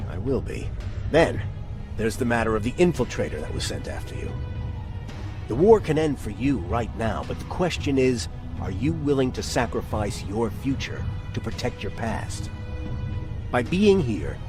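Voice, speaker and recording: An actor playing a solemn, resolute resistance commander in a video game, speaking in a deliberate, measured way. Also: male voice, mid-range voice, big pitch swings, natural voice. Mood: solemn, encouraging. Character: grave, resolute male resistance commander in a future war. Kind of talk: dramatic video game acting. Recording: game dialogue over loud music.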